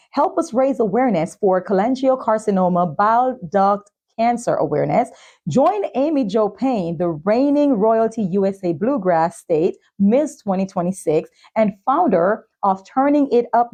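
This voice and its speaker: Lady podcast host, soft dark tone, medium pitch, talking to guest and audience. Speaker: talk show and podcast host. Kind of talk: interviewing and presenting sponsor messages.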